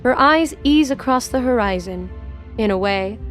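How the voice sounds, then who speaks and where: A woman's voice: fairly high pitch, lively intonation, moderate pace, faint music behind. A woman narrating a scripted story for an audio drama.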